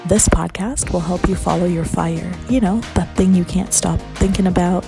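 A woman's voice: fairly low pitch, steady tone, normal speed, creaky, over music. A woman hosting a podcast, speaking in an enthusiastic, uplifting, motivational way. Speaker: podcast host. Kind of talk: scripted podcast intro, then casual interview chat.

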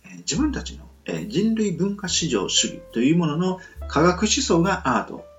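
A man presents a relaxed solo radio monologue with a very animated pitch and noticeable vocal fry. He explains things politely, circling and hesitating as he thinks aloud. Soft guitar music plays behind him. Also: normal speed, lo-fi sound. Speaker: radio show host.

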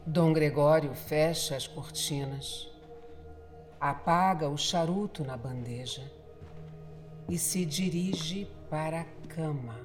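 A Brazilian woman narrates a scripted horror radio drama over prominent music. She takes her time, placing each phrase deliberately and dramatically. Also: deep voice, a little mellow.

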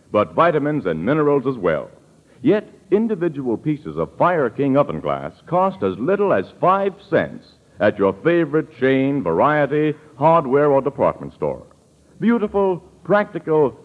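An American man reading a 1940s radio advertisement as a polished, emphatic announcer. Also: medium pitch. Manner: polished, emphatic salesman delivery. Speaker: commercial announcer. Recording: tinny, narrow 1940s radio recording.

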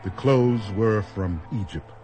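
A man with a husky voice narrating a scripted radio drama in a measured, dramatic storytelling manner. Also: on the low side.

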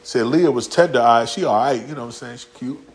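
A man's voice: mid-range voice, a little fast. A man preaching a casual, slangy sermon in a church, acting out quotes and talking directly to the congregation. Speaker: pastor. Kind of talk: conversational sermon.

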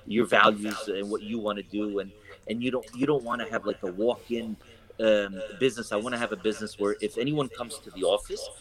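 A man's voice: lowish voice, steady tone, brisk, a bit bright, rough recording. A man who is a real estate broker talking casually in an interview, rambling with many fillers and restarts. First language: English.

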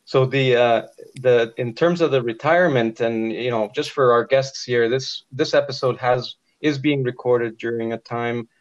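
A man hosting a conversational radio interview, wordy and rephrasing as he goes, on a dull low-fidelity recording. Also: normal speed, hardly any pauses, dry recording. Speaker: radio podcast host, interviewer.

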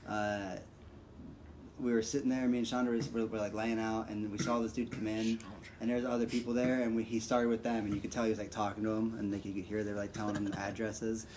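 A man's voice: lowish voice, level tone, fast, darkish tone, a little hiss or hum, low-quality recording. A man hosting a podcast, telling rambling, profane stories in casual conversation. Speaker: podcast host. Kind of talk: casual chat, storytelling.

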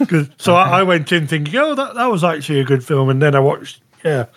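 Male co-host, fairly high pitch, informal conversational delivery. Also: animated, brisk, a bit bright. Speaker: podcast co-host. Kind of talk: casual chat.

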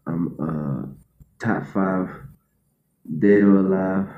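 A man giving clues in a casual guessing game with friends, halting and full of fillers and restarts. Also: deep voice, echoey room, narrow, tinny audio.

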